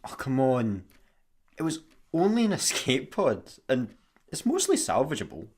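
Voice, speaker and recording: Grumpy space worker, animated voice. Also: male voice, mid-range voice, measured pace, husky, full character voice. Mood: exasperated. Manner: sarcastic, grumbling. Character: grumpy, exasperated male space satellite employee. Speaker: radio comedy actor. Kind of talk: sci-fi comedy radio play acting.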